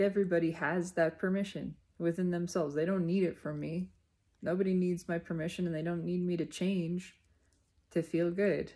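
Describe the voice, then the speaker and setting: A woman giving an unscripted, reflective spiritual monologue in deliberate, halting phrases with emphatic repetition. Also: lowish voice, a little mellow. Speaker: speaker sharing personal spiritual reflections.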